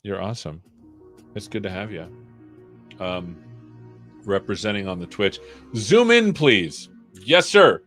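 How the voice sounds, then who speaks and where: A man's voice: fairly low pitch, expressive, a little slow, long pauses, fairly bright tone, soft background music. A man hosting a developer livestream, coding live and chatting as he works, often muttering to himself. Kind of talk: live coding, thinking aloud.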